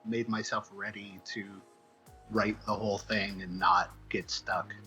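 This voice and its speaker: A man chatting casually as an interview guest, halting and self-deprecating as he thinks out loud. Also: fairly low pitch, level tone, faint music behind, rough recording. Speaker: guest, comic book writer.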